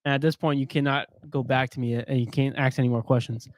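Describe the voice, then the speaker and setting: A man's voice: mid-range voice, flat delivery, fast talker, dry recording. A man co-hosting a casual sports chat, joking and rambling as he tells stories. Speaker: podcast co-host.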